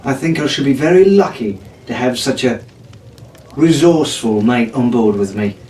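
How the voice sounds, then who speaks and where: A man's voice: mid-range voice, expressive, moderate pace, darkish tone, recorded from a distance, close to an everyday voice. A man voicing a cocky, swaggering teenage boy pirate in a glib, theatrical manner for a storybook. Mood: charming. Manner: glib, exclamatory, stuttering when flustered. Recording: roomy, off-mic, faint noise.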